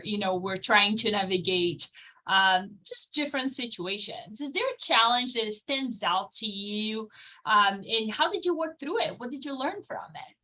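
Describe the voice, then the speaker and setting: A woman moderates a panel and asks questions in an upbeat, encouraging tone. Her voice is bright and forward. Her pitch sits in the middle range. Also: poor audio.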